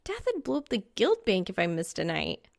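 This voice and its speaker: A woman's voice: mid-range voice, wildly swooping pitch, fairly quick, gravelly, no echo, studio quality. A woman voicing a snarky, teasing young woman gamer in an audiobook story. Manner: teasing, cocky.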